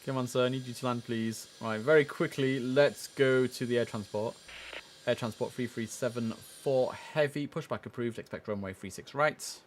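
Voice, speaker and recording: A man's voice: medium pitch, moderate pace. Chatty man streaming an air traffic game. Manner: chatty, muttering asides between clipped formal instructions. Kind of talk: live game stream commentary mixed with radio-style controller calls.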